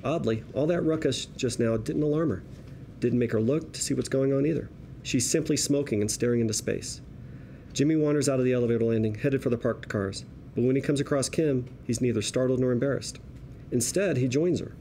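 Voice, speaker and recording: A man's voice: mid-range voice, fairly quick, mellow, slight background noise. A man reading screenplay stage directions aloud as narrator, in a wry, colloquial storytelling manner. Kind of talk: scripted screenplay narration, present tense.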